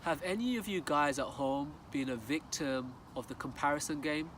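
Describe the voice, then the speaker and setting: Male storyteller, earnest and measured, recorded away from the mic with noticeable vehicle noise outside. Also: a little high. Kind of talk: moral storytelling addressed to viewers. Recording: distant mic, loud outdoor traffic noise.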